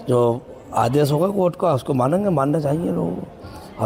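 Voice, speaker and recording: A man giving a spontaneous, somewhat halting appeal in a news interview. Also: on the high side, darkish tone. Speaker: mosque representative interviewed.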